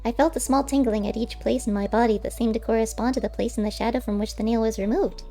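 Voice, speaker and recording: A woman voicing a small male animal in a storybook, soft, tentative and earnest. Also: a little high, steady tone, fast, faint music behind, pitched above the performer's own voice, natural voice. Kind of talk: character voice in audiobook.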